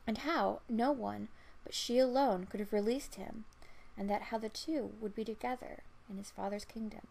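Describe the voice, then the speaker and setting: Slow-paced woman telling a fairy tale. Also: mid-range voice, vocal fry, darkish tone, a little hiss or hum, poor audio. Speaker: storyteller. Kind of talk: fairy-tale narration read aloud.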